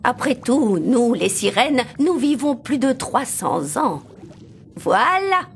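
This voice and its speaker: A woman voicing a kindly, solemn old mermaid grandmother in an animated fairy tale, measured and tender. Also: on the high side, creaky, slight background noise, heavily acted. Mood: solemn warning. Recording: music behind.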